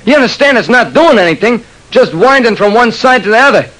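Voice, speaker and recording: A man's voice: extremely high pitch, lively intonation, brisk, fairly bright tone, voice pushed up, low-quality recording. An actor playing an incredulous, wisecracking male shop clerk in old-time radio style. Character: flippant, skeptical male radio parts store clerk. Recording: vintage radio broadcast sound.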